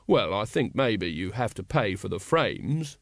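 A man's voice: on the low side, big pitch swings, measured pace, vocal fry, no echo, studio quality, exaggerated voice. A man voicing a stolid, ponderous royalist know-all, lecturing in an audiobook.

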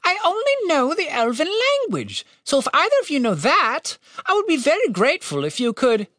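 Woman voicing a squeaky, polite, flustered male bird. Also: wildly swooping pitch, brisk, fairly bright tone, dry recording, clean studio sound, exaggerated voice. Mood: hopeful, polite. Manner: apologetic, chattering, exasperated.

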